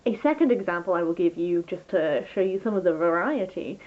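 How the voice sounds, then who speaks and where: A woman's voice: fairly low pitch, lively intonation, brisk. Woman doing a chatty book review vlog, kinda rambly and keen, tinny narrow phone-like audio. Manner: rambling, enthusiastic, self-interrupting.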